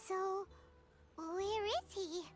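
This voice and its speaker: A woman voicing a small, childlike, excitable girl companion in an anime video game, with squeaky, exclamatory delivery. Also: extremely slow, full character voice. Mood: curious. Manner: exclamatory, wide-eyed questioning. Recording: game music behind the voice.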